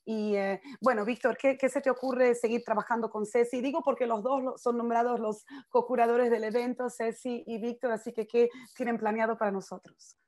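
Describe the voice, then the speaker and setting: A woman, moderating a panel in a slightly high voice. She thanks people, restarts her sentences, and hands the floor to the next speaker. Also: steady tone, a little fast, a little mellow. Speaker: moderator and cultural manager.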